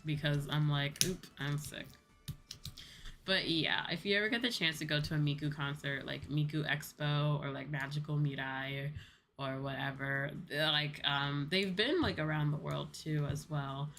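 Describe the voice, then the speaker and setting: A woman's voice: low-pitched, steady tone, moderate pace, a bit bright, a little hiss or hum. A woman chatting casually and in a rambling way with viewers on a livestream. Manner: rambling, conversational, casual swearing. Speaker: VTuber livestreamer. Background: background music.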